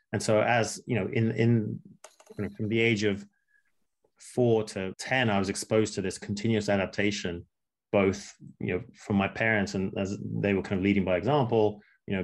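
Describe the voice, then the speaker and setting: Halting, thoughtful man, lower voice. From Russia, raised in England. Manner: thoughtful, halting, many fillers and restarts. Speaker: entrepreneur guest. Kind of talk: interview answers.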